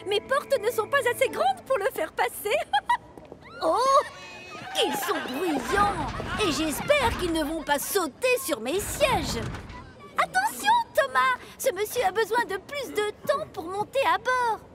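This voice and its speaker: A woman's voice: very high-pitched, very animated, slow, over loud music, full character voice. A woman voicing a prim, easily alarmed railway carriage who exclaims and complains in a children's cartoon. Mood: gossipy. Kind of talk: children's cartoon dub acting.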